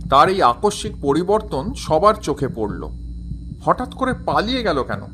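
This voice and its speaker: A man's voice: on the high side, big pitch swings, moderate pace, noisy background. A man narrating a crime thriller audio drama in a dramatic, suspenseful style. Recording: music bed under the voice.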